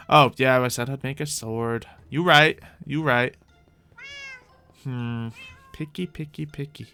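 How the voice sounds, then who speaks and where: Male streamer, mid-range voice with lively swings in pitch; casual, playful, rambling gameplay chatter over faint music. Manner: playful, rambling, talks to himself and to game animals. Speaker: streamer hosting a Minecraft live stream. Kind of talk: casual live gameplay commentary.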